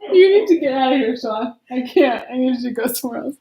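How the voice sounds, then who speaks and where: A woman's voice: sits high, lively intonation, quick pace, a crowd in the background, rough recording. A woman casually reading a children's story at home in a chatty, giggly manner, often breaking off to talk to her listeners.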